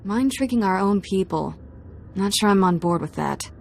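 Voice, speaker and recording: A wry, irreverent sci-fi sidekick, medium in pitch and sardonic in delivery. It is video game acting over faint noise. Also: female voice, animated, moderate pace, vocal fry. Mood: skeptical.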